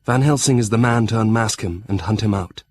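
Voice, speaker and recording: A man's voice: on the low side, brisk, a little mellow, close to an everyday voice. An actor playing a young Victorian solicitor, shaken but resolute, narrating his diary in an audio drama with earnest determination.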